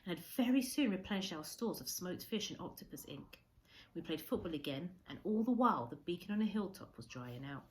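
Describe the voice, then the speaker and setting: A woman reading a storybook aloud as a teacher would, expressively, with measured dramatic emphasis. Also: deep voice, darkish tone, poor audio.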